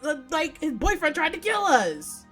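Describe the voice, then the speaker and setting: A woman giving casual, slangy and profane live commentary as she plays a visual novel, exclaiming in an animated way. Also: high-pitched, moderate pace, soft background music. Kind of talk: casual game livestream commentary.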